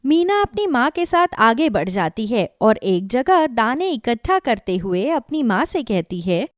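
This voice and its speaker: A woman's voice: on the high side, big pitch swings, measured pace, no room sound, studio quality. A woman narrating a scripted children's cartoon story.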